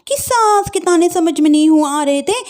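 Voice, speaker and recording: An exasperated young daughter-in-law, performed by a woman in a family story. Her voice is high and swoops expressively, pleading and plaintive but with a cheeky sting. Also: animated, normal speed. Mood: defiant.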